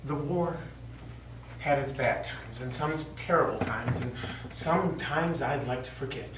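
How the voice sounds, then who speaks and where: A man's voice: mid-range voice, a little slow, lightly acted. A man on stage playing an earnest, devastated former soldier who is lovelorn. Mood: wounded, devastated. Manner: earnest, wounded. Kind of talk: stage play acting. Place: theatre studio stage. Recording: echoey stage, distant mic, noisy.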